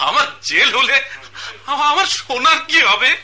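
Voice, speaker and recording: A man's voice: very high-pitched, lively intonation, a little slow, sharp tone, full character voice. Kuddus, a desperate poor accused man. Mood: anguished. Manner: pleading then bitterly railing, sobbing laughs. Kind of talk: Bangladeshi state radio drama acting.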